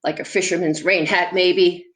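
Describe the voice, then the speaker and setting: A woman's voice: lowish voice, steady tone, moderate pace, a bit bright. A woman presenting to young viewers as a children's storyteller, explaining props and puppets simply and putting questions to them. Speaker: children's storyteller and theatre performer.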